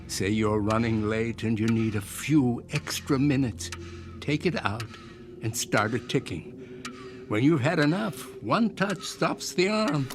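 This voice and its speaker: An actor playing an older eccentric inventor in a radio drama, courteous and mysterious, talking in a measured, professorial way with small hesitations. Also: male voice, mid-range voice, animated, music in the background, close to an everyday voice. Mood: quietly eager. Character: eccentric old inventor, courteous, mysterious, quietly persuasive salesman.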